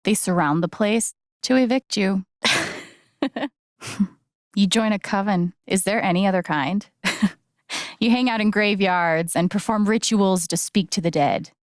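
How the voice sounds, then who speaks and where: A woman playing a sincere, gently wry young game heroine, with an American accent and an understated, conversational delivery. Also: mid-range voice, measured pace, no room sound, studio quality, lightly acted. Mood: playful. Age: young adult. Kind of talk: naturalistic video game voice acting.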